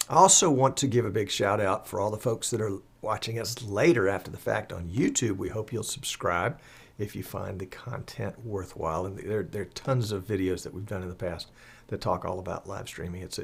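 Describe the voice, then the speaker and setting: Croaky, low-voiced man hosting a live stream. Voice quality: slightly husky, croaky. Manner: chatty, folksy, rambling enthusiasm with asides. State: croaky, recovering from the flu. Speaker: live stream show host. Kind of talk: casual live show hosting with announcements.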